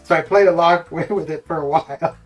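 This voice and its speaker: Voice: mid-range voice, brisk. Casual streamer chatting over music. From Canada, born in the Caribbean. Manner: chuckles often at own remarks. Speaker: live game streamer. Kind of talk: casual chat while streaming. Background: music with guitar.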